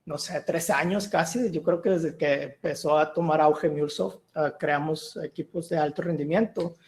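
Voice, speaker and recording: Man, fairly high voice, video call. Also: normal speed. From Mexico. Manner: relaxed, with many "eh" and "ah" fillers and restarts. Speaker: guest speaker, solution architect. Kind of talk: online tech meetup presentation.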